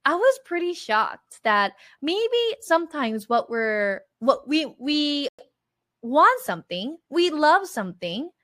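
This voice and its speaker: A woman's voice: high voice, sing-song, slow pace, pushed and bright. A woman in her thirties teaching an online language masterclass in an encouraging, motivational way, acting out her own past words as she goes.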